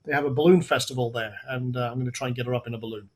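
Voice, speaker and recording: A man hosting a live software webinar, talking casually through on-screen steps with frequent restarts while his voice goes hoarse. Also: mid-range voice, fairly even intonation, racing. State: voice going hoarse. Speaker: webinar host.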